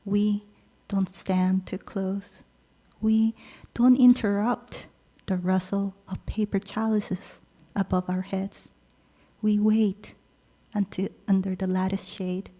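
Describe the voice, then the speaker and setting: A woman poet, native Korean speaker raised in Peru, reading her own poems aloud in a measured, pausing delivery. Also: medium pitch, fairly even intonation, poor audio. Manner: slow, measured delivery with soft pauses between lines. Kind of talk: poetry reading.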